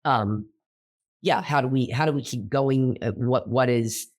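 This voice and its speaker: A man's voice: mid-range voice, a little slow. A man co-hosting a casual podcast as theater director, thinking aloud with many fillers and restarts while recovering from illness.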